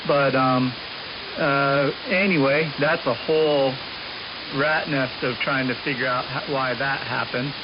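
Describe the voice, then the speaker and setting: A man's voice: medium pitch, steady tone, unhurried. A man chatting casually as an amateur radio operator, heard over a shortwave radio link. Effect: shortwave radio transmission. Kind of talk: casual radio contact chat, ending turns with "over". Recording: muffled, hissy amateur radio signal.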